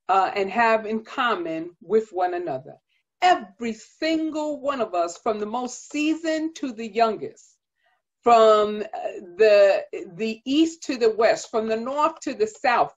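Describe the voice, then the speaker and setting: A middle-aged woman preaching a sermon in a deliberate, emphatic cadence of repeated phrases, recorded like a video call. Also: high voice. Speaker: guest preacher and pastor. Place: indoors, virtual background. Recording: dull, band-limited video-call audio.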